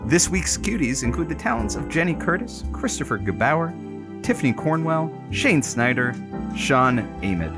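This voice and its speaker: Animated male radio announcer. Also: a little high, moderate pace, over music. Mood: upbeat announcing. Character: wry, blunt male radio colleague with showy announcer delivery. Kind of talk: scripted audio drama, promo announcing.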